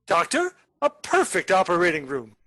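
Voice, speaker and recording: A menacing criminal nephew in a radio stage comedy, acted by a man. He broods theatrically and turns mock-sweet, with pitch that swings widely from phrase to phrase. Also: huge pitch swings, moderate pace, pushed and bright, heavily acted. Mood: scheming.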